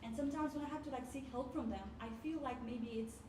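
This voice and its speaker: Female panelist, measured and reflective, distant in a noisy echoey hall. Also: high-pitched, mellow. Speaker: nurse and panelist. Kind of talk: forum panel discussion. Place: community forum hall.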